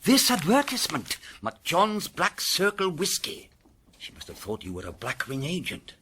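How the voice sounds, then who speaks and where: An actor with a British accent playing an excitable, commanding senior police inspector in a period detective drama. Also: male voice, on the high side, moderate pace, a little hiss or hum, full character voice. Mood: alarmed.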